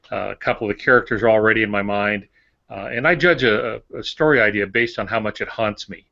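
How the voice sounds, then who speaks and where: An older man, a novelist co-hosting a podcast, explaining in a casual, halting way with short repetitions. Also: on the low side, bright, forceful tone. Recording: dull lo-fi voice recording.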